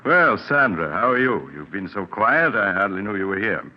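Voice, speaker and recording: An actor playing a calm, kindly family doctor who narrates a 1940s radio drama in a measured, reassuring manner. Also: male voice, lowish voice, lightly acted. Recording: muffled, hissy 1940s radio recording.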